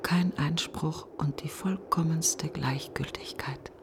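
An actress playing a grieving woman in a literary radio monologue, speaking in hushed, halting fragments. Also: sits low, fairly even intonation, in a whisper. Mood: hushed, bitter. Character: grieving woman brooding on her dead best friend.

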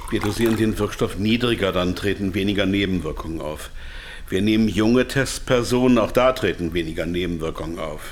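An actor playing a captive pharmaceutical executive in a radio drama, self-justifying and slyly defiant. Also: male voice, low-pitched, fairly quick, natural voice. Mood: slick, matter-of-fact. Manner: defensive, stammering under pressure, otherwise slick. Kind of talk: radio drama acting, with whispered inner thoughts.

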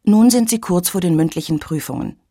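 Female radio reporter, scripted narration, lowish voice, dry close sound. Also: brisk, dry recording. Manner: clear, even reporting.

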